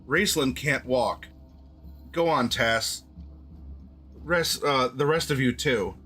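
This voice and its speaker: A man's voice: medium pitch, slow, bright, forceful tone, faint music behind. A man reading as a wary, grudging leader. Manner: muttered, grudging. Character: weary male half-elf leader, wary and reluctant.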